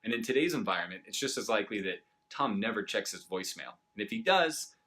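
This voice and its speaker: A man's voice: on the low side, lively intonation, fast talker, sharp tone. A man coaching viewers in a conversational, explanatory way, checking in with short rhetorical questions as he gives an instructional business tip. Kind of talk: instructional sales tip to camera.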